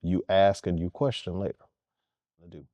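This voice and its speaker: Earnest male coach in an interview; low, dark voice, dry close sound. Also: moderate pace, no echo. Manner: earnest and emphatic, repeats key points. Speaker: head basketball coach. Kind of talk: interview answers.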